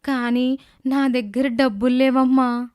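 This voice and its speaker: Woman as a kindly, high-voiced old wise woman. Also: level tone, a little slow, darkish tone, clean tone. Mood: gentle, solemn. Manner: kindly, instructing. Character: gentle old woman with magical gift. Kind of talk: children's animated moral tale dubbing.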